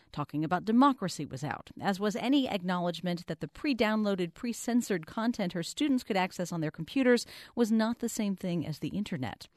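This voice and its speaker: A man hosting a public radio talk show, reading a scripted introduction and then asking measured interview questions. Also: high voice, dry recording, studio quality.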